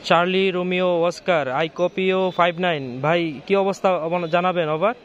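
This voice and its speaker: A man's voice: sits high, little pitch movement, slow, highs cut off, a little hiss or hum. A man with a Bangladeshi accent speaking as an amateur radio operator, hesitant and repetitive, moving between chat and call signs. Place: outdoors on a rooftop.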